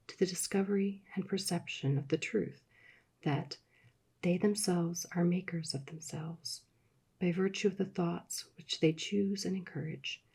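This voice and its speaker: A woman reads in a soft-spoken ASMR hush, slow and soothing, slipping now and then into whispers. Her voice is very breathy with a gravelly fry, and its tone is dark and mellow. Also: fairly low pitch. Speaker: ASMR reader.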